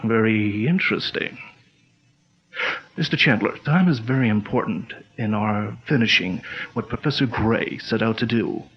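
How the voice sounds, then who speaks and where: A man playing a calm, commanding male pulp detective hero, in a measured, authoritative 1940s radio style. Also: on the low side, a little hiss or hum, natural voice. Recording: dull lo-fi old-radio sound.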